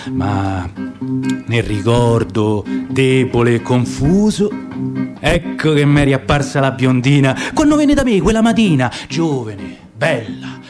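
An actor playing a nostalgic middle-aged Roman man, reciting dialect verse about the past in an expressive storytelling manner. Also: medium pitch, slow pace. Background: acoustic guitar music.

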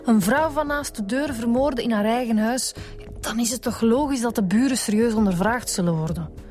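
A woman's voice: fairly high pitch, normal speed, darkish tone, soft background music, close to an everyday voice. A woman playing a doubtful, questioning police detective in a radio drama, with a Flemish accent and a conversational manner. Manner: conversational, sceptical. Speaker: actor.

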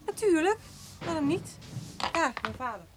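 An actress playing a cheerful, excited young country daughter in a radio drama. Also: female voice, high-pitched, moderate pace, noisy background. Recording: music and horse sounds behind.

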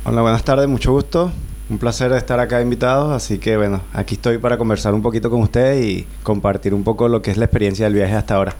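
A man's voice: fairly low pitch, fairly even intonation, fast, darkish tone. A Venezuelan man in his thirties, a guest in a radio studio, telling his story at length with many fillers and restarts. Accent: Venezuelan Spanish. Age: 30s. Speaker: guest, bicycle traveler. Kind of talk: relaxed radio interview.

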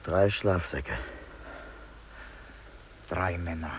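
An actor playing a grieving, reverent member of a polar rescue party, speaking in short, solemn, hushed declarations in a 1950s German radio drama. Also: male voice, sits low, very slow. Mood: hushed grief. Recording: noisy old radio drama recording.

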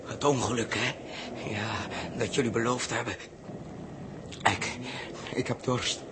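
A man acting a helpless, parched man who pleads and complains haltingly, in a 1960s radio drama. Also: medium pitch, fairly even intonation, close to an everyday voice. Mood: pleading, desperate. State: weak, parched. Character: helpless, thirsty, pleading man crawling for beer. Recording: noisy 1960s radio recording.

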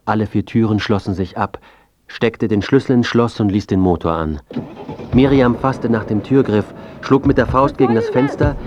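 Radio play lead: male actor as self-absorbed, disillusioned writer, clipped and reflective, low pitch, ambience clearly behind. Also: natural voice. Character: disillusioned male biographer, self-absorbed, adrift, dryly bewildered. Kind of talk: radio drama acting and narration. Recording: muffled, clear background ambience noise.

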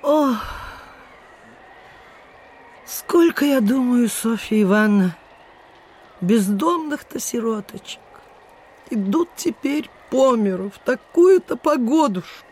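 An actress playing a meek, kindly little old landlady in a radio play, gentle and sighing. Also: on the high side, animated, slow pace, long pauses, slightly rough, exaggerated voice. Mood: pitying. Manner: gentle, sighing, pitying, old-fashioned diminutives. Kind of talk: Soviet radio play acting.

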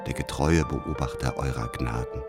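Calculating male spy, extremely low voice, formal and measured, deferential, radio drama letter, music underneath. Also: steady tone. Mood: coolly reporting. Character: coolly calculating male spy writing reports to his master.

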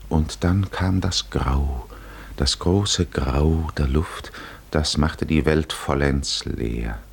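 A man narrating a literary 1950s radio drama in a solemn, measured manner with deliberate pauses. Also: very deep voice, creaky, husky.

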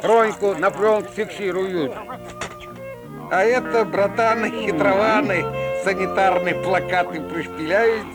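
A man's voice: high voice, a little slow. A man narrating a comic fairy tale as a theatrical, folksy storyteller with rustic dialect phrasing. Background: loud music.

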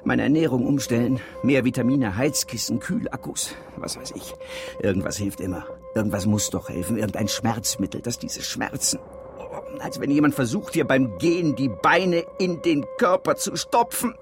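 An actor in a radio drama playing a boastful, driven campaign executive plagued by pain, speaking in clipped, slogan-like lists. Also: male voice, medium pitch, expressive, moderate pace, music in the background, lightly acted. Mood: pained, exasperated. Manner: self-important, clipped slogan-like enumerations. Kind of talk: contemporary radio drama monologue.

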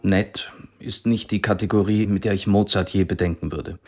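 An actor playing a reserved, politely pointed piano teacher in a radio drama, dry and formal, with a husky voice. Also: male voice, low-pitched, steady tone, moderate pace, lightly acted.

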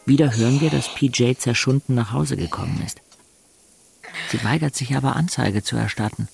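A man's voice: mid-range voice, normal speed, gravelly, breathy edge, soft background music, exaggerated voice. An actor playing an introspective young man who narrates his own life matter-of-factly in a German audio drama. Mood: wistful, earnest.

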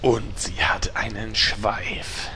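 A skeptical guild member, played by a man drags out each doubtful, half-whispered line. His voice sounds bright and very slow in this amateur audio drama. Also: lowish voice, flat delivery, heavily acted.

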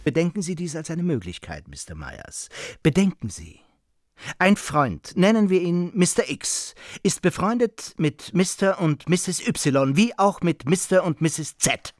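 Pompous, indignant husband, higher-pitched. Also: male voice, very animated, unhurried, no echo, studio quality. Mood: pointed lecturing. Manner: pompous, stern, emphatic, formal. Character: indignant, pompous married man lecturing a guest. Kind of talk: German radio play acting.

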